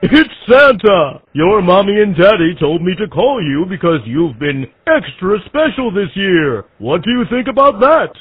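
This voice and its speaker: Doting old Father Christmas, jolly, drawn-out hearty delivery, raspy, prank-call phone sound. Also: high voice, sing-song, no echo, exaggerated voice. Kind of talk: pre-recorded prank call acting. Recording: tinny phone line.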